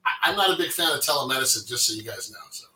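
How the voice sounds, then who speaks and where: A man's voice: a little high, lively intonation, very fast, a crowd in the background. A man on a casual podcast telling stories with a dry joking manner. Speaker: podcast guest. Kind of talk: casual chat. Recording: echoey, distant from the mic.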